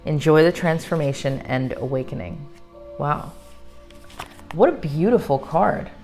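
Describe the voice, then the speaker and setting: Low-voiced woman reading tarot messages. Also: expressive, measured pace, a little mellow, soft background music. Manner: switches between solemn card reading and chatty asides. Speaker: tarot reader. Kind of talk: reading tarot card messages aloud to camera. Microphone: microphone.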